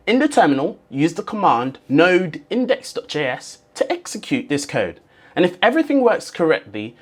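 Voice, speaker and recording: Casting: tutorial presenter, medium pitch, highly animated intonation, scripted step-by-step instruction aimed straight at the viewer. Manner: instructional, clearly signposted, addresses the viewer directly. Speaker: host of a programming tutorial.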